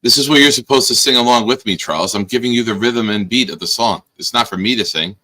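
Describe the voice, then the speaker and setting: A man hosting a casual live-stream show, hoarse, teasing and bossing his guest around with sudden loud bursts. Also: medium pitch, fast talker, rough recording. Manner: teasing, bossy banter with sudden loud outbursts. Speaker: podcast host.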